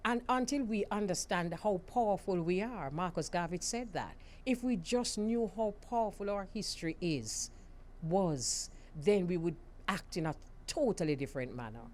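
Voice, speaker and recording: A woman with a Jamaican accent hosting a radio talk show, emphatic and quick to laugh. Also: lowish voice, slight background noise. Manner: lively, laughs often, emphatic. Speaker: radio host.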